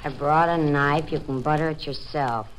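An actress playing a bitter, sharp-tongued wife stuck at a backwoods camp in a radio drama. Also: female voice, deep voice, steady tone, normal speed, close to an everyday voice. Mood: bitter, nagging. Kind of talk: 1950s radio drama acting. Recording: faintly noisy old radio recording.

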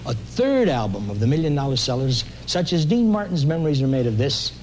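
A man's voice: mid-range voice, sing-song, fairly quick, darkish tone, a little hiss or hum, rough recording. A man announcing a scripted television record offer with an emphatic sales delivery. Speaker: commercial announcer.

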